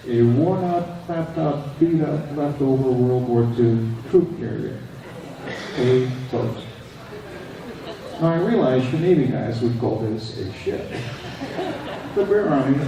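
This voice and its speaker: An older man from Indonesia giving a prepared speech to an audience at a veterans event, with dry, plain-spoken humour. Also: mid-range voice. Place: large echoey hall, recorded from far off. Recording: echoey hall, distant camera, background noise.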